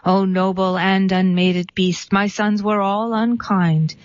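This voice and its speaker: A man's voice: sits high, level tone, slow pace, clean tone, dry recording. A woman reading the lines of the old patriarch Noah, distraught, in a poem. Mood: lamenting. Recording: dry, dull lo-fi sound.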